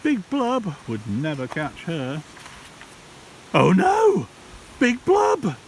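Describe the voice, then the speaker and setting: A man, a primary school teacher, reads a children's storybook aloud in a theatrical, deliberate way with dramatic pauses. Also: high-pitched, wildly swooping pitch. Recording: distant mic, hissy background noise.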